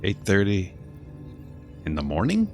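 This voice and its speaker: A man's voice: deep voice, huge pitch swings, unhurried, a little mellow, faint music behind. A man giving casual live gameplay commentary as a streamer, rambling and thinking aloud. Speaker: game streamer.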